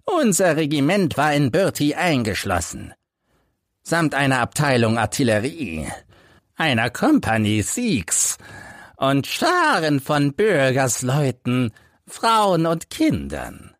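A man's voice: mid-range voice, wildly swooping pitch, slow pace, fairly bright tone, dry recording, studio quality, heavily acted. Man acting out a bitter, crippled old soldier. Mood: grave recounting. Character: embittered hunchbacked old ex-soldier telling his life story.

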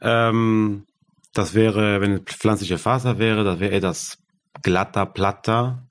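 A man, a guest talking about founding his yarn business, halting as he searches for German words. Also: fairly low pitch, fairly even intonation. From Argentina. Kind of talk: casual interview.

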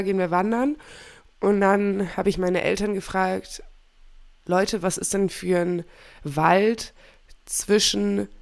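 A woman's voice: medium pitch, gravelly. A woman in her twenties hosting a casual podcast chat, speaking with a cold and laughing often as she corrects herself. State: has a cold. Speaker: podcast host.

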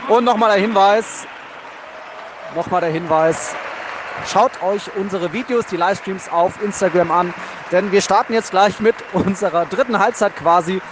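A man's voice: sits high, moderate pace. A man hosting a podcast, giving live football commentary from the stands. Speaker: podcast host. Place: outdoors in a football stadium. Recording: loud stadium crowd and noise. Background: crowd noise and applause.

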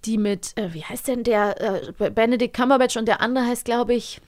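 Chatty, expressive woman co-hosting a podcast. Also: fairly high pitch, normal speed. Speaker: podcast co-host. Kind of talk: casual chat about radio plays.

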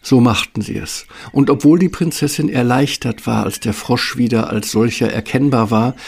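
A man's voice: mid-range voice, normal speed, gravelly, darkish tone, slightly rough. A man narrating a scripted children's fairy tale as a storyteller.

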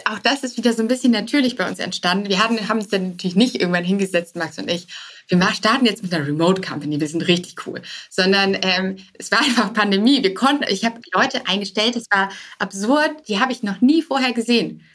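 A woman's voice: medium pitch, fast talker, a bit bright. A woman speaking as a startup founder and podcast guest, answering casually and anecdotally with English business terms mixed in.

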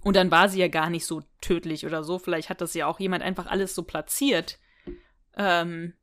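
A woman's voice: on the low side, fairly even intonation, a little fast, fairly bright tone. A woman co-hosting a podcast in a casual chat, recapping a story and speculating aloud with frequent tag questions. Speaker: podcast co-host. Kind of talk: casual chat recapping and discussing an audio drama.

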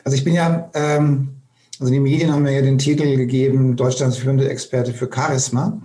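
A man, a charisma expert on as a guest, explaining conversationally and halting as he repeats words while searching for them. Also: mid-range voice, flat delivery, mellow. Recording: roomy echo.

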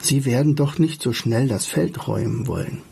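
A gentle male wanderer from a storybook acted out by a man. He sounds modest and doubtful. His voice is very husky and somewhat gravelly. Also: medium pitch, moderate pace, full character voice. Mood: cautious, hopeful. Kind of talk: storybook reading.

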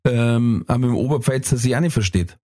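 Bavarian comedian dude telling stories on a podcast, easygoing, mid pitch. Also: male voice, brisk, warm tone. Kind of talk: casual chat.

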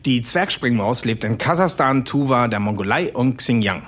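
An actor playing a taciturn, nerdy office loner who recites facts matter-of-factly in a radio play. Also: male voice, medium pitch, animated, normal speed, fairly bright tone. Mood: deadpan. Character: Olaf, taciturn, nerdy know-it-all male office loner.

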